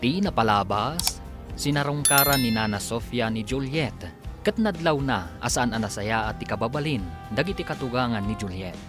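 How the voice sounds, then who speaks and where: Animated male radio drama announcer. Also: mid-range voice, normal speed. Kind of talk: dramatic scripted narration. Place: radio studio. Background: music.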